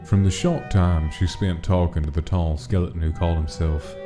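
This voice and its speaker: A low-pitched male actor as a storyteller narrates a dark mafia tale of monsters for a comic dub. His delivery is scripted and dramatic, with clear music underneath. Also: steady tone, moderate pace, lightly acted. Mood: measured, ominous.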